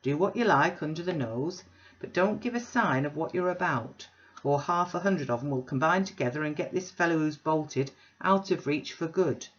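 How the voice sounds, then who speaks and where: A woman playing a loud, bragging businessman. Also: deep voice, normal speed, close to an everyday voice. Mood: boastful. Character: blustering boastful self-made businessman, male, middle-aged. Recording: dull lo-fi recording.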